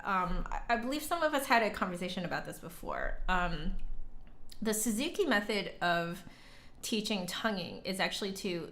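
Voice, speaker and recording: A woman chatting casually as a livestream host and flute teacher, conversational, with hesitant pauses and emphatic repeats. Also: medium pitch, lively intonation, vocal fry, a bit bright. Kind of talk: casual livestream chat, answering viewer comments.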